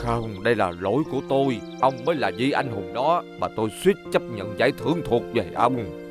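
A man voicing a kindly, excitable older shopkeeper in a dubbed children's cartoon, speaking expressively and sincerely. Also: medium pitch, a little fast, close to an everyday voice. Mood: grateful, apologetic. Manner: expressive, emphatic. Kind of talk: dubbed children's animation acting. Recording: music behind the voice.

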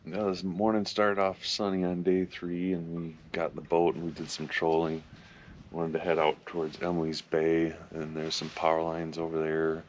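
Deep-voiced man, fishing vlog, small boat on open lake, action camera, wind and water noise behind. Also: moderate pace, dull audio. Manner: relaxed, matter-of-fact, upbeat when a fish bites. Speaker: fishing vlogger. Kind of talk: casual vlog narration. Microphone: action camera mounted in the boat.

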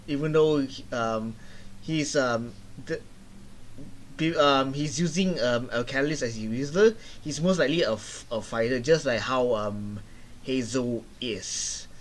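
A young man giving casual reaction commentary in a halting, hesitant way, repeating words as he searches for the next one. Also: medium pitch, a little hiss or hum. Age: young adult. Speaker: reaction video host. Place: small room indoors.

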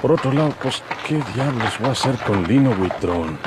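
An actor playing a bold, hot-tempered young outlaw with a rural Mexican accent and an emphatic rustic manner, in an old radio drama. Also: male voice, mid-range voice, expressive, moderate pace, noisy background, lightly acted. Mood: musing aloud. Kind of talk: golden-age Mexican radio drama acting.

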